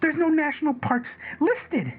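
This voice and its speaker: A man's voice: very high voice, lively intonation, measured pace. An actor playing an agitated, obsessive conspiracy caller in a radio drama, stammering, repeating himself and swearing as he rambles. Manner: stammering, repetitive, profane rambling. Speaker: caller on a late-night radio show. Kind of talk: audio drama acted as casual talk radio. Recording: tinny phone-like line with background music.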